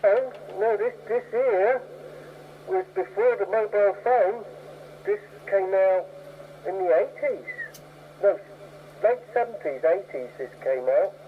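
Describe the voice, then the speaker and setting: Higher-voiced man over tinny, noisy CB radio. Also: expressive, slow, pauses often. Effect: CB radio transmission. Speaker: CB radio operator. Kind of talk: casual radio chat. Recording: tinny narrowband CB radio, clear static noise.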